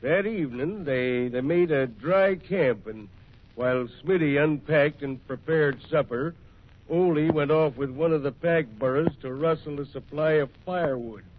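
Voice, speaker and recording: A thin, tinny vintage radio recording carries a male storyteller. His somewhat high voice delivers scripted western narration in a folksy style. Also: normal speed, slight background noise.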